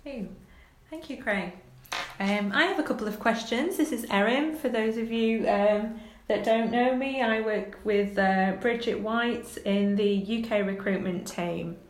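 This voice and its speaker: A woman's voice: on the high side, unhurried. Hesitant woman interviewer, echoey room. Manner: hesitant, with "um" fillers and restarts. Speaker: interviewer, recruitment team colleague. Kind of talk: casual workplace interview.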